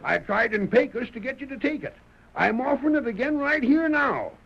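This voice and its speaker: A man's voice: very high voice, animated, a little fast, creaky, heavily acted. An actor playing an earnest, rustic young frontier man in an old radio western. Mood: earnest, insistent. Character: earnest young frontier fellow, wronged and grateful. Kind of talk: 1940s radio western acting. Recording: dull, noisy old radio recording.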